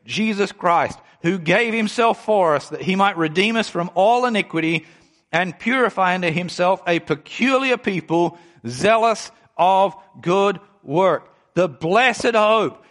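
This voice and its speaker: A man in his forties preaching a sermon, reading scripture aloud and explaining it, slowing down to stress key phrases. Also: high-pitched, animated, a bit bright. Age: 40s. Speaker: pastor.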